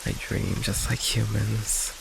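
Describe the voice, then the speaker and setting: Man as a gravelly, slow-spoken tender vampire boyfriend. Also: lowish voice, level tone, heavily acted. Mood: moved, loving. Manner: soft, hesitant, halting, breathy sighs and chuckles. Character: shy, tender vampire boyfriend, affectionate and sheepish. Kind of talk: intimate ASMR roleplay. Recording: faint noise, rain ambience behind. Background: rain ambience.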